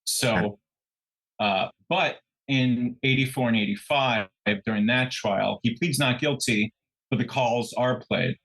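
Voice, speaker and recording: A man's voice: medium pitch, slow pace, a bit bright, low-quality recording. Male true crime co-host. Conversational storyteller who stumbles, backtracks and corrects himself as he goes.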